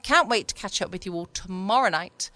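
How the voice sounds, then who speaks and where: A woman's voice: medium pitch, brisk, pushed and bright, no echo. A woman chatting casually as a podcast host at home. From United Kingdom. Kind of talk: casual chat.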